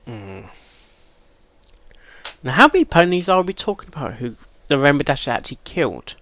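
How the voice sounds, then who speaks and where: A man giving casual reaction commentary, halting and thinking aloud, with sudden louder bursts of emphasis. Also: a little high, big pitch swings, dry recording, muffled recording. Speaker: reaction video host.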